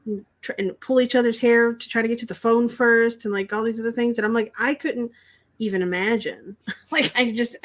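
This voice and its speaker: A woman's voice: a little high, brisk. Teasing, giggly woman co-host. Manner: teasing, laughs often. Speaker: co-host and friend. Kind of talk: casual live-stream chat.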